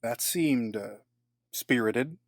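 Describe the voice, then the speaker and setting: A man playing a diplomatic confidant in an audio drama, speaking in measured, rather formal phrases. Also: medium pitch, creaky, slight background noise. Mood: calm, tactful.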